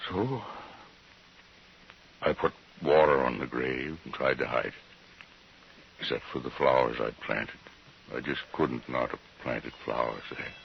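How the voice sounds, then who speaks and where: An actor playing a weary, ashamed frontier settler in a 1950s radio western, speaking in a plain, halting rustic way on a dull, hissy old recording. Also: male voice, a bass voice, vocal fry, exaggerated voice. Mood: ashamed, subdued.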